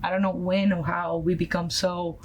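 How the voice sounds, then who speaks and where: A woman from Colombia with a Colombian Spanish accent, a Spanish native speaker, telling her story earnestly as a podcast guest, pausing often with fillers. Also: fairly low pitch, little pitch movement. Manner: earnest, halting, many fillers. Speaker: guest, nonprofit founder. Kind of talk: podcast interview, personal story.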